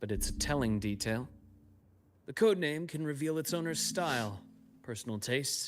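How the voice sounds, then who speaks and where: An actor playing a composed, brooding male investigator in a video game, speaking in a measured, deliberate way. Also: medium pitch, expressive, noisy background, natural voice. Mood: analytical, cool.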